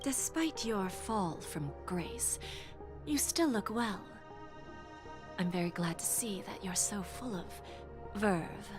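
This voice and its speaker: Game role: patient, scheming noblewoman, silky and breathy, mid-pitched, music underneath. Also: animated, slow pace. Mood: smooth, teasing. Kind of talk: English game voice acting. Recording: voice over loud game music.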